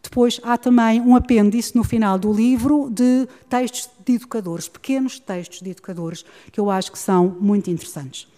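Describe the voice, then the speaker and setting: Woman, European Portuguese accent, middle-aged, measured academic delivery, warm mellow tone, hall reverb. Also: on the high side. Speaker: speaker presenting a book on education. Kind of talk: formal talk followed by discussion.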